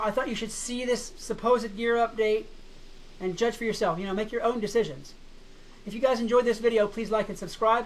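Woman, gaming commentator, slightly hoarse, chatty, skeptical, faint background hiss. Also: medium pitch, fairly bright tone, low-quality recording. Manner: chatty, skeptical, laughs often. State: slightly hoarse, frog in throat. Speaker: gaming YouTuber. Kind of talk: casual game commentary.

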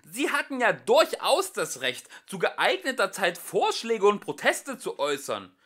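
A man's voice: high-pitched, huge pitch swings, measured pace, fairly bright tone, pitched above the performer's own voice, exaggerated voice. A man voicing a fussy, harried male council official in an audiobook reading, speaking in an insistent, officious way.

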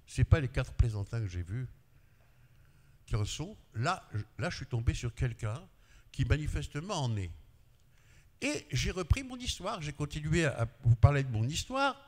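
A man's voice: big pitch swings, normal speed. An older man whose first language is Arabic, a pediatrician, telling a personal story at a panel tribute on a handheld mic. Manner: storytelling with shifts of pitch and loudness, gesturing. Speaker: speaker paying tribute, pediatrician. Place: large glass-walled conference hall. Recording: long reverb in a hall.

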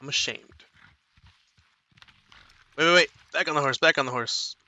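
A man's voice: on the high side, moderate pace, bright, forceful tone. A young man with no accent commentating his game at home, rambling and apologizing as he tells self-mocking stories. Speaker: gaming streamer. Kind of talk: casual let's play commentary.

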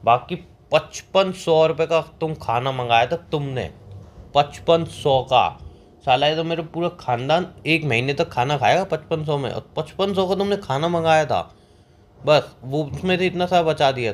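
A man's voice: fairly high pitch, moderate pace, lightly acted. A young man playing a cheeky, broke boyfriend in a phone-call drama, argumentative and colloquial as he justifies himself. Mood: defensive. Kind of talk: scripted comic phone-call drama between a couple. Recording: clear background ambient noise.

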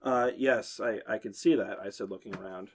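A young man hosting a podcast, reading a horror story aloud to friends and pitching up for the girls' lines. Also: fairly quick, slightly rough.

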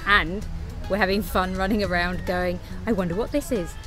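A woman's voice: mid-range voice, normal speed. A middle-aged woman talking casually to a handheld camera outdoors, cheerfully describing historic sights. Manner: cheerful, playful narration of sights. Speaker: travel vlogger. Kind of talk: casual travel vlog chat. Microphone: phone or camera at arm's length. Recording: music under the voice. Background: music.

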